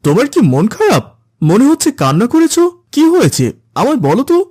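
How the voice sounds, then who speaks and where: Woman playing a sly, husky-voiced tempting friend. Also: on the high side, huge pitch swings, a little fast, dark tone, no room sound, clean studio sound, full character voice. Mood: concerned. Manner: coaxing, insinuating. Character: sly, persuasive friend tempting someone back to sin.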